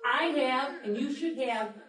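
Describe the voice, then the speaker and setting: A woman's voice: high voice, a little slow, a crowd in the background. A woman playing a rigid, rule-bound corporate official in a live sci-fi audio drama, stern and formal. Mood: officious. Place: live panel in a public library room. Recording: echoey room, far from mic, noisy.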